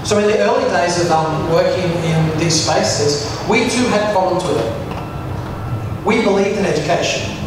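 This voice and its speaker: A man in his forties with an Australian accent, giving an animated conference presentation into a handheld microphone in front of an audience. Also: sits high, moderate pace, far from the mic, noisy background. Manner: animated, gestures while speaking. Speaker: school management executive. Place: large conference room with audience. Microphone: handheld microphone through PA. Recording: echoey hall recorded from afar.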